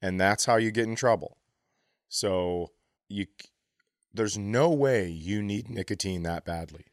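A man's voice: on the low side, unhurried, dry recording, studio quality. A man riffing in casual comedic banter, teasing and crude, reasoning out absurd points as a podcast co-host.

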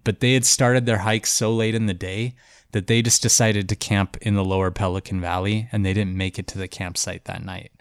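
A man's voice: lowish voice, no echo. Congested man telling a story, dry sound. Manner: loose and chatty, with many "like" and "um" fillers. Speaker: podcast host and storyteller. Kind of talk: casual storytelling chat.

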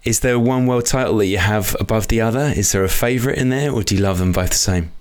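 A man with a fairly low voice puts questions to a guest. He speaks conversationally, as a podcast interviewer does. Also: fast talker.

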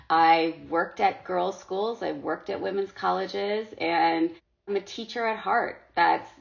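A woman's voice: fairly low pitch, creaky. A middle-aged woman from Sri Lanka, talking earnestly as a podcast guest and often repeating words as she goes. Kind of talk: casual interview talk. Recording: dull lo-fi audio.

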